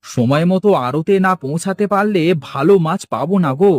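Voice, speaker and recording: A man voices a village fish seller for a cartoon. He is folksy and polite, always busy, with a slightly high voice that swings freely in pitch. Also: expressive, fairly quick, lightly acted. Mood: businesslike. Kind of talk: Bengali cartoon dialogue acting.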